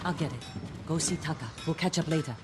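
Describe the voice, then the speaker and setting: A woman's voice: low-pitched, fast, over music, natural voice. Dry, guarded commoner played by a woman. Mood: dry scepticism. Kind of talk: video game cinematic acting.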